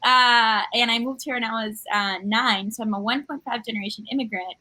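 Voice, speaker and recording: A Colombian-born woman hosting a casual panel chat, upbeat and quick with affirmations. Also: fairly high pitch, fairly even intonation, bright, forceful tone. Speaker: host.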